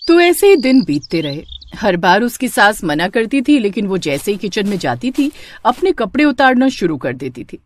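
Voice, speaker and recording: A woman's voice: mid-range voice, big pitch swings, normal speed, a little hiss or hum. A woman narrating a scripted moral tale as a storyteller.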